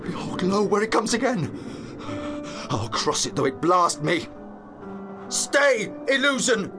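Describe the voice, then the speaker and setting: A man's voice: sits high, sing-song, takes it slowly, music in the background, full character voice. An actor playing a learned, earnest young nobleman on night watch, declaiming verse in a dramatised Shakespearean audiobook. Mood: alarmed, commanding. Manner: eloquent, declamatory verse delivery.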